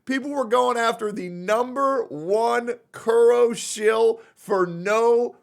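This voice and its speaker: Hyped high-voiced host in his thirties. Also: creaky. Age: 30s. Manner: hyped, profane, fast banter. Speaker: podcast host. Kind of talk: casual group chat.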